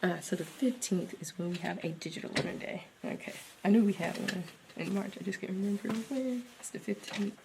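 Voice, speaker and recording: A woman's voice: mid-range voice, a little fast, vocal fry, noisy background. A young woman, a teacher, talking casually to camera in a rambling, think-aloud way, sounding congested from a cold. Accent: Southern US. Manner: rambling, thinking aloud, drops to near-whisper. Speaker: special education teacher and vlogger. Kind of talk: casual vlog talk to camera.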